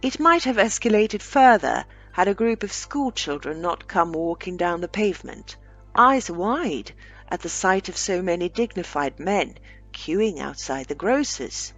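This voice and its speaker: Slow-paced low-voiced woman storyteller. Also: lively intonation, fairly bright tone, soft background music. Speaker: storyteller reading own short story aloud. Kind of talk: scripted story narration.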